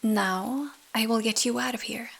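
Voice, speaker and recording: A woman voicing a kind, stern fairy in a bedtime story, calm and measured. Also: fairly high pitch, vocal fry. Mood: kindly firm.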